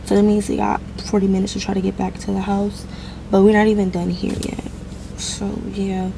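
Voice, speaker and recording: A woman's voice: mid-range voice, level tone, moderate pace, gravelly, mellow, slight background noise, poor audio. A young American woman chatting casually to viewers in a vlog. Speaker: lifestyle vlogger.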